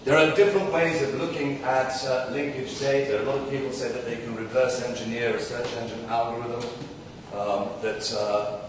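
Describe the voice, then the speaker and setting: A man giving a loud, energetic conference talk, with frequent ums and uhs. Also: mid-range voice, moderate pace, noisy background. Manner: loud, energetic presenter with fillers. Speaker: conference speaker. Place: large conference room. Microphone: distant, mic trouble. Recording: echoey hall from far off.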